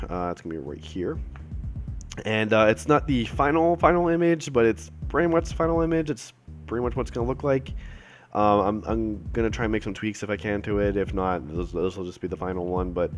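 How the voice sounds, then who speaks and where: A man recording a casual update video, a little raspy from a cold, rambling with many fillers and restarts, apologetic and appreciative. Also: low voice. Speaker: self-published author giving updates.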